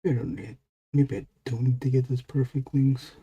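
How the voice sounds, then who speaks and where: A man with a husky voice, chatting casually with his live-stream viewers as he draws, rambling and asking them questions. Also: medium pitch, moderate pace, warm tone, highs cut off. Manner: rambling, talks to chat readers. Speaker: illustrator streaming his drawing. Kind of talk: casual live-stream chat while drawing.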